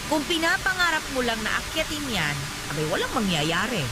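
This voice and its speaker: A woman's voice: high-pitched, big pitch swings, a little fast, rough recording. An actress playing a determined, encouraging woman in a Tagalog radio drama, giving an earnest, emphatic pep talk. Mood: earnest, urging. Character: determined, encouraging woman urging a companion to chase dreams. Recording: loud music bed under dialogue. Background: loud music.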